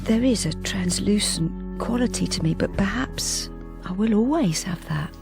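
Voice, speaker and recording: An actress playing a timid, wistful middle-aged wife in a radio drama, speaking in a hesitant, confiding way. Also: female voice, medium pitch, animated, normal speed, vocal fry, over music. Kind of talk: radio drama acting with first-person narration.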